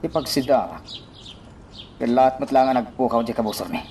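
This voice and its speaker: A man with an Ilocano accent acting a gruff schemer in a radio drama. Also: medium pitch, lively intonation, a little fast, darkish tone, far from the mic, slight background noise, lightly acted. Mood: gruff, urgent.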